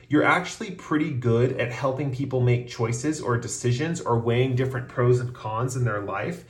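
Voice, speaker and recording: A man talks through a tarot reading in a loose, meandering way, full of ums, and slows down to name each card. His voice is wearing thin from losing it. He sits at an ordinary middle pitch in a room with a noticeable echo. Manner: relaxed, rambling, frequent ums, slows to announce cards. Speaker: tarot reader. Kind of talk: casual tarot reading to camera.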